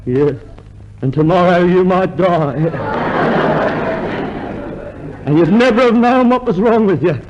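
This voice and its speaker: Chirpy hypochondriac man, high voice, proud of being ill, old noisy radio comedy. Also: slow, pauses often, highs cut off. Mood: self-pitying, proud. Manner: earnestly boastful about being ill. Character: chirpy hypochondriac male customer who relishes his ailments.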